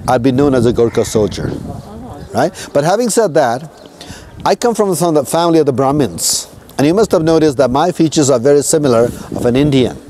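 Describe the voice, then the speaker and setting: A man's voice: medium pitch, animated, fairly quick, mellow. A man from Nepal guiding tourists outdoors, telling history in a casual, conversational way and often checking in with his listeners. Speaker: tour guide.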